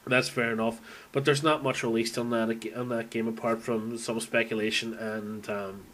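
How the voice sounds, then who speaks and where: A man's voice: medium pitch, flat delivery, moderate pace, fairly bright tone. A man giving a casual, unscripted monologue as a fan, rambling and thinking aloud as he goes. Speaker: video game fan recording an audio log.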